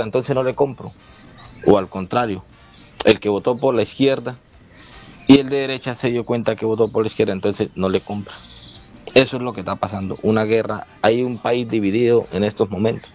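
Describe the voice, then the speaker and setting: Impassioned caller, lowish voice. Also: male voice, a little mellow. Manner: impassioned, preachy, repetitive. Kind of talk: call-in radio opinion.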